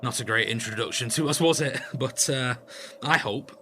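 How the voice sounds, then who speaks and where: An actor playing a friendly, chatty hotel worker with a dry joking streak in a radio play. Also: male voice, medium pitch, normal speed, bright, forceful tone, close to an everyday voice. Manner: chatty, casual, dryly joking. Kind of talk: radio drama acting. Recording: clear noisy ambience behind the voice.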